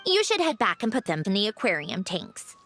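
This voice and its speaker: A woman's voice: medium pitch, huge pitch swings, fairly quick, fairly bright tone, faint music behind. An actress playing a proud, guarded, self-reliant young woman in a video game, speaking in a cool, clipped, dry manner.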